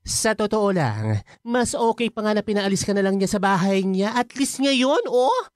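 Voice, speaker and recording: A woman's voice: mid-range voice, moderate pace, dry recording, studio quality, natural voice. Sassy, swooping best friend , acted by a woman. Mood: blunt. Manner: sassy, expressive, nagging yet comforting, sighing interjections. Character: outspoken, protective, exasperated best friend of young woman. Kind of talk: Filipino radio drama dialogue.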